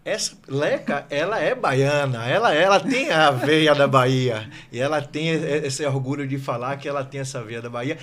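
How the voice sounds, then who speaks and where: A man's voice: on the high side, moderate pace, few pauses, a bit bright. Man giving a rambling host introduction. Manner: long, rambling introductions with asides. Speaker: podcast host.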